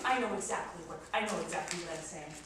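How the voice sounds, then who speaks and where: A woman's voice: on the low side, fast talker, close to an everyday voice. A woman playing an emotional, melodramatic young newlywed wife in a theatrical stage comedy. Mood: tearful. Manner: melodramatic, emphatic, volatile. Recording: distant, echoey, very noisy stage recording.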